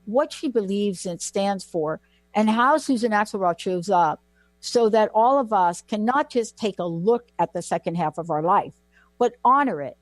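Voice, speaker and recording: A woman's voice: medium pitch, animated, normal speed, no room sound, soft background music. Gushy lady hosting a radio talk show, dry close mic. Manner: gushing, effusive.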